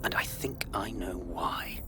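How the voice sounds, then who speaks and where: An actor playing a wary, secretive intelligence analyst in a video game cutscene, speaking in a measured but urgent, guarded way. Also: male voice, deep voice. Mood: grave. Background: music.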